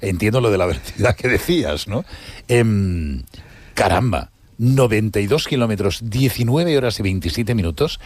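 A man's voice: on the low side, lively intonation, normal speed, husky, no echo. A man from hosting a conversational interview in an engaged, amazed manner. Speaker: interview host. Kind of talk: conversational interview, switching between two languages.

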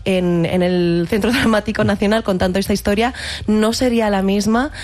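Brief: woman, 30s, from Catalonia, actress guest, measured and reflective, pauses and restarts. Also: mid-range voice, fairly even intonation, darkish tone. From Catalonia, Spain. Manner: thoughtful, many restarts and fillers. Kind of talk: reflective interview talk.

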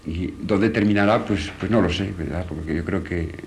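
A man with a very low voice reflects off the cuff as a painter being interviewed. He is hesitant and keeps searching for his words. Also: moderate pace, vocal fry. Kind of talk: unscripted reflection on own work.

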